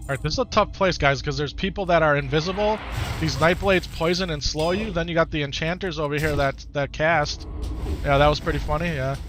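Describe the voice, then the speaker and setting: A man's voice: a little high, fairly quick, music in the background. A man with an Upper Midwest American accent, chatting casually to his viewers during a live game stream and often repeating himself. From Wisconsin, United States. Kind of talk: casual live-stream chat over gameplay.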